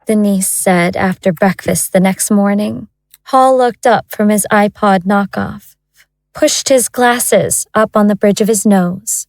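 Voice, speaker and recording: A woman's voice: mid-range voice, slow, no room sound, poor audio. A woman narrating a scripted audio drama as its storyteller.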